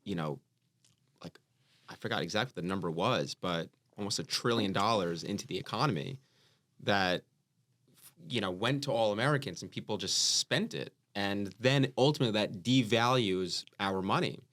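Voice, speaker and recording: A man's voice: lowish voice, normal speed. A man co-hosting a real estate podcast, explaining economics in a casual, conversational way with frequent fillers. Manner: animated, conversational, many fillers. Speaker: podcast co-host. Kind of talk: casual panel discussion.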